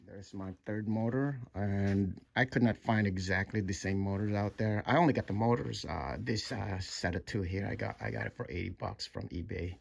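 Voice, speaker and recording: A middle-aged man giving a casual walkthrough of his home-built project, halting with many fillers and restarts. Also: lowish voice, vocal fry, breathy edge. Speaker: DIY builder. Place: home room with sim cockpit, indoors.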